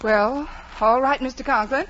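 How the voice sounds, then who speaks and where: High-voiced, wisecracking schoolteacher. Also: female voice, moderate pace. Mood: polite, wry. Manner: deadpan, sarcastic. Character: wry, wisecracking female English teacher. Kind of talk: 1940s radio comedy acting. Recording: dull, noisy 1940s radio recording.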